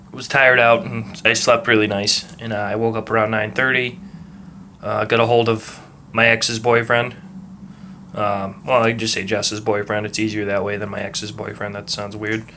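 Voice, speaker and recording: A young man with a muddled Massachusetts accent, talking in a calm, rhythmic way and rambling casually with lots of ums and uhs. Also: lowish voice, slight background noise. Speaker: vlogger. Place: at work.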